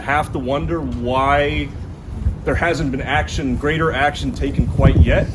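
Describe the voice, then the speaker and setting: A man's voice: medium pitch, steady tone, measured pace. A young man, a graduate student, giving a fervent rally speech with a repetitive, chant-like cadence. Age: young adult. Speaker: PhD student and co-plaintiff. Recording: outdoor street noise, traffic.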